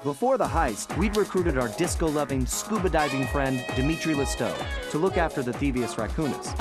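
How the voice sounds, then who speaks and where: A man voicing a cocky, easygoing young raccoon thief, telling his story wryly in an animated video game. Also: a little high, brisk, lightly acted. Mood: reflective. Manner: wry, smooth storytelling. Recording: clear music bed behind.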